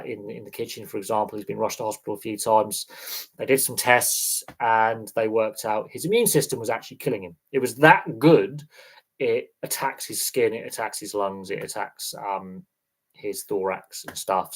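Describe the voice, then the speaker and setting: A man talks casually to his livestream audience, rambling and halting as he restarts his sentences. His voice is a little congested from a sniffle. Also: mid-range voice. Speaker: sports channel host.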